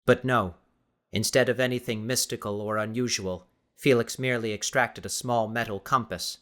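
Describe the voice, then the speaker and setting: A man reading dramatic scripted narration for an audiobook in a deliberate, theatrical way. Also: mid-range voice, steady tone, pushed and bright.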